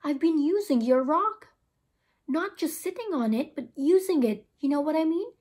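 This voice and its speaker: Woman as a chatty high-voiced schoolboy pal. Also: lively intonation, normal speed, darkish tone. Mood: confiding. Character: Jimmy, casual schoolboy best friend.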